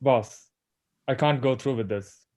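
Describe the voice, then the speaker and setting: A man playing an uneasy thirty-something agent in a scripted table read, expressive and awkwardly polite. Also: medium pitch, a little slow, close to an everyday voice. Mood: pleading. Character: uneasy thirty-something male agent, torn between duty and friend.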